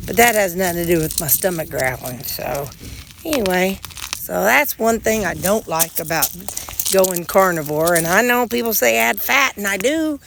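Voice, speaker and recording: A middle-aged woman with a drawl talks in a loose, rambling way, full of restarts and asides. Her voice is a bit nasal with a gravelly edge. She is recorded on a handheld phone outdoors, with a faint hiss of noise underneath. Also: mid-range voice, expressive, moderate pace, fairly bright tone. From Missouri, United States. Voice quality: slightly nasal. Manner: rambling, chatty, folksy with frequent restarts; pulling weeds while talking. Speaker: vlogger. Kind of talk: casual chat to camera. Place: outdoors beside a gravel bed and sidewalk. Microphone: phone held in hand.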